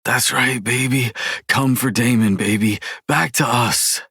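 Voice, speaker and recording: Woman doing a deep tender man, soft breathy coaxing, dry close sound. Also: a little slow, dry recording, exaggerated voice. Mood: soothing. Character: tender, protective young man, devoted lover.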